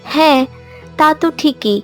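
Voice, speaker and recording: Cartoon serpent princess: young, gentle, earnest, tender, higher-pitched, light music behind. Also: female voice, a little slow. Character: gentle young female shape-shifting serpent princess, trusting, lovestruck. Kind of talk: dramatized cartoon story dialogue.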